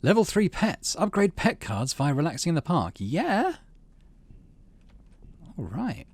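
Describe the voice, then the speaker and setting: A man's voice: a little high, wildly swooping pitch, a little slow, husky. A man hosting a video game playthrough, reading the story text aloud and adding casual commentary. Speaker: gaming channel host.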